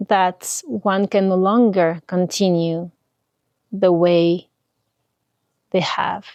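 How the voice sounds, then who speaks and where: A woman leading a guided spiritual meditation, speaking in a soothing, deliberate way with pauses between short phrases. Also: on the low side, fairly even intonation, darkish tone, no echo, highs cut off.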